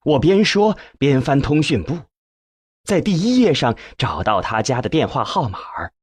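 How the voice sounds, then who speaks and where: Husky male audiobook narrator, lively pitch. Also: moderate pace, no room sound. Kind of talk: scripted dramatic narration of a novel.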